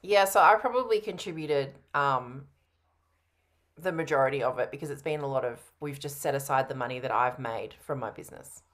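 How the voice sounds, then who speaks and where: A woman's voice: a bass voice, brisk, a bit bright. A middle-aged woman talking casually on a video call in a sales roleplay. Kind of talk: casual conversation. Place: indoor home room, on a video call.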